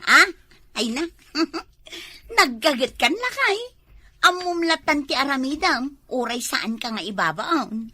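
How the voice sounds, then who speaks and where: A woman's voice: high-pitched, animated, unhurried, bright, forceful tone, dry recording, heavily acted. A woman playing a cheeky, gossipy village wife in an Ilocano comedy drama, with an Ilocano accent. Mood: bossy, playful. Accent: Ilocano, northern Philippines.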